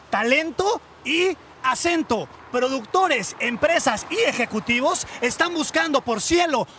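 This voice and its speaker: A man's voice: very high-pitched, sharp tone, slight background noise. A man reporting a lighthearted TV piece, teasing and joking with the people he interviews. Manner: playful, teasing questions. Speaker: street reporter.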